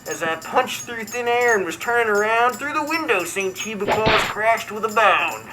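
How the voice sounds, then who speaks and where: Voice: mid-range voice, lively intonation, normal speed, heavy background noise, natural voice. A performer voicing a brash, crude martial arts buddy character, reading comic verse in a mock-dramatic, theatrical way. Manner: mock-dramatic storytelling, irreverent. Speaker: comedy show host character. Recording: music behind the voice.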